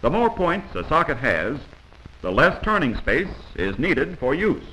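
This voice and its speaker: A man's voice: a little high, big pitch swings, measured pace, sharp tone, slight background noise, rough recording. A man narrating a 1940s instructional film from a script, in an instructive, emphatic manner on a vintage film soundtrack.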